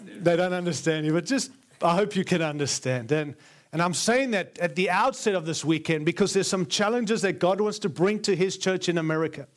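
A man's voice: sits high, brisk. A man with an Australian accent preaching a sermon as a guest speaker to a live congregation, earnest and emphatic, stacking repeated phrases to build his point. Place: large church before a live congregation.

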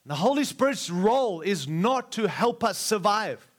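A man's voice: high voice, very animated, normal speed. A middle-aged man, preaching to a live church with repeated, rousing phrases and jokes for the congregation. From Australia. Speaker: guest preacher.

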